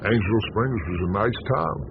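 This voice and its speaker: A man's voice: fairly low pitch, normal speed, close to an everyday voice. An actor playing a world-weary, bitterly sardonic small-town American newspaperman in a radio drama, speaking with theatrical deliberation. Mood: wry, resigned. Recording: dull lo-fi radio broadcast, noisy.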